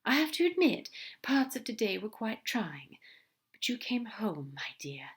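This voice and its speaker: A tender, fussy male angel with a lifted, gentle voice, as a woman reader puts him on. He speaks softly and earnestly, often dropping to a hushed whisper. A storybook figure full of quiet affection. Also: a little high, sing-song, a little slow, pitched above the performer's own voice. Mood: affectionate.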